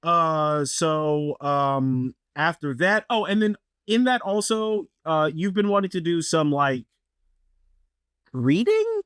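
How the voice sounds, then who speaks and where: A man co-hosting a podcast in a loose, rambling way, leaning on fillers and restarts. His voice sits a bit high, and the sound is close and dry with no room echo. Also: sing-song, slow, fairly bright tone, smooth. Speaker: podcast co-host. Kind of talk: casual chat.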